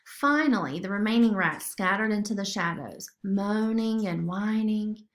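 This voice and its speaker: A woman's voice: mid-range voice, unhurried. A woman narrating a children's story expressively, as a storybook reader.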